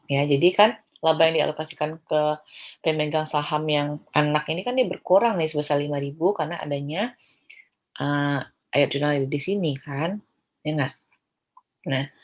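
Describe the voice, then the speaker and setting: A woman's voice: deep voice, husky. An Indonesian woman lecturing through slides in an explanatory way, mixing in English terms and often adding small check-in tags. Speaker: accounting lecturer.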